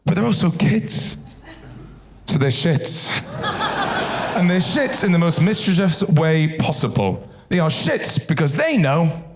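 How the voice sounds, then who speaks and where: High-voiced stand-up comedian. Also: male voice, unhurried, slight background noise. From Wales. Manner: energetic, shouting punchlines to a laughing crowd. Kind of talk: stand-up routine. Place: large theatre stage. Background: loud audience laughter.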